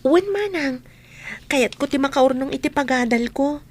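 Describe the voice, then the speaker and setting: A young, naive new maid. Her voice is high and polite, with an innocent questioning manner and an Ilocano accent, in radio comedy style. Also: expressive, moderate pace. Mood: shy, curious.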